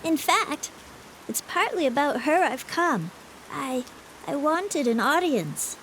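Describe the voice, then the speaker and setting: A woman's voice: high voice, sing-song, slow, a bit bright, slight background noise, voice pushed up, full character voice. A woman voicing a solemn, pale little girl in a storybook reading, speaking earnestly and precisely. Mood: grave. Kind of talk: audiobook reading.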